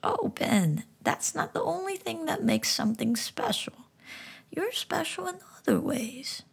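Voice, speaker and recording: A woman voicing a small, kind talking frog that speaks warmly and with amusement. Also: sits high, sing-song, measured pace, vocal fry, voice pushed up, exaggerated voice. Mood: gentle amused. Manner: warm, amused, whispery.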